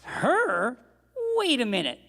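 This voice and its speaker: A woman's voice: fairly high pitch, wildly swooping pitch, slow pace, vocal fry, fairly bright tone, exaggerated voice. A woman playing a naive, curious young outlaw kid with hesitant American cowboy talk in a live radio play. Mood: wide-eyed. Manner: hesitant, stammering. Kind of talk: Western radio play acting. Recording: live stage hall, long reverb.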